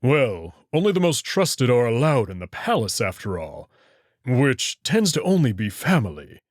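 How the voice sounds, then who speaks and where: Man voicing Amicus, an expressive, proud alien prince. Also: medium pitch, dry recording, studio quality. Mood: assured, explanatory. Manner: assured, measured, formal phrasing. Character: Amicus, proud protective furry alien prince, an older brother. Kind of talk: visual novel character acting.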